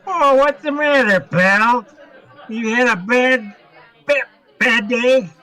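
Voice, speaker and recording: Slurring, high-pitched drunk barfly. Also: male voice, slow pace, bright, forceful tone, a faint crowd, heavily acted, rough recording. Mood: pleading, whiny. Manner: rambling, repetitive, over-familiar. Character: maudlin, happily drunk male barfly pestering strangers. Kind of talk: radio comedy acting.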